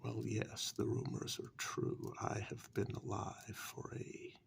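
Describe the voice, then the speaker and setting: A man voicing an ancient, powerful warlock in a soft-spoken ASMR fantasy role-play, gravelly and half-whispered, calm, menacing and condescending, with long deliberate pauses. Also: on the low side, slight background noise, exaggerated voice. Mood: ominous, proud.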